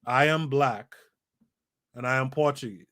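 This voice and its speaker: A man's voice: medium pitch, a little slow, a bit bright. A man hosting a podcast livestream, reading a book aloud and breaking off to comment, with an emphatic delivery that repeats phrases for effect and speaks to his live chat. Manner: talks to a live chat audience. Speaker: podcast host.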